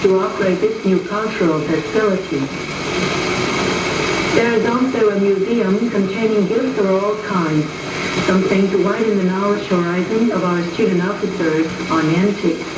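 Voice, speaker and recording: A woman reading scripted propaganda film narration in a formal, measured manner, on an old film soundtrack. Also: medium pitch, roomy sound, far from the mic, very noisy. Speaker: documentary narrator.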